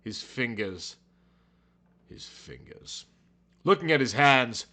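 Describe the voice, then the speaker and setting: Guy reading a story out loud super dramatic, brooding and overwrought, medium pitch voice. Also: expressive, slow pace. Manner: theatrical, brooding, overwrought delivery. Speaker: narrator of an audio story. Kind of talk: dramatic scripted narration.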